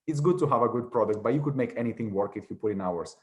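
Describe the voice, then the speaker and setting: 20s guy from latvia, russian is his first language, talks quick and rambly, lots of "you know". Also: mid-range voice, a little mellow, dull audio. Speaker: guest. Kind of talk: casual interview chat.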